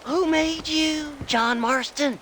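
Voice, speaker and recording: An actor playing an unhinged, cranky Old West drifter with a rural American accent, erratic and mocking, his creaky voice cracking, in a video game. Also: very high voice, a bit bright, slight background noise, full character voice. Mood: manic, sneering. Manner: erratic, mocking, drawn-out words. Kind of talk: dramatic video game acting.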